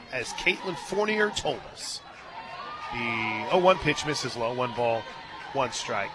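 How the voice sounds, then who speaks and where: An American man calling live softball on the radio as play-by-play announcer, with folksy, joking banter. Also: mid-range voice. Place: stadium radio booth.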